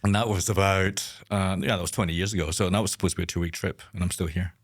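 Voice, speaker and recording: A man from Scotland with a very low, deep voice, speaking as an interview guest. He talks hesitantly, repeating words and trailing off to a near murmur at the ends of his thoughts. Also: steady tone, fast talker. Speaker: guest architect. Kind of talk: conversational interview.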